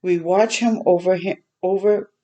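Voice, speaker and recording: A woman hosting a storytime for children, reading a picture book aloud in a gentle way and pausing to chat and explain simply. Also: on the low side, steady tone. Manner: gentle, simple explaining to young listeners, with pauses to comment.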